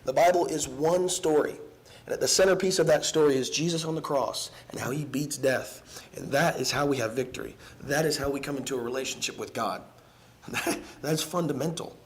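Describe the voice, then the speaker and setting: Hoarse young man preaching a sermon. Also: mid-range voice. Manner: earnest, halting, many ums and restarts. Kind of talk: evening sermon with casual asides. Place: church sanctuary.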